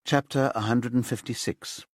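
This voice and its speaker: A man reading a crime thriller audiobook as scripted narration in a measured, storytelling way. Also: medium pitch, steady tone, dry recording, clean studio sound.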